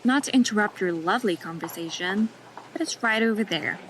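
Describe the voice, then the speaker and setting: An actress plays a foul-mouthed young nurse in an audio drama. She sounds dry, blunt and sarcastic, with a voice of middling pitch. A faint background hiss runs under her lines. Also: brisk, a bit bright, lightly acted, low-quality recording.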